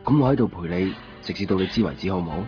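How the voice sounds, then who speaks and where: A man's voice: low voice, animated, racing. A man acting an earnest, devoted young man in a Cantonese radio drama, with a Hong Kong accent and a probing, pressing way of talking. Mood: earnest, resigned. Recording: dull lo-fi 1990s radio recording, music behind. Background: background music.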